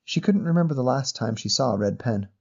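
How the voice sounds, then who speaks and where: A man reading a literary short story as narrator in a measured, descriptive manner. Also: mid-range voice, lively intonation, creaky, slightly rough, highs cut off.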